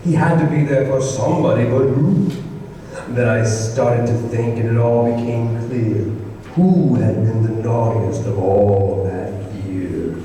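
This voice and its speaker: A man playing a narrator who recalls a dark Christmas tale from his boyhood, in theatrical rhyming old-time radio style. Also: medium pitch, a little slow, lightly acted. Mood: suspenseful. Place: live stage performance in a hall. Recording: very echoey hall, distant mic, clear noise.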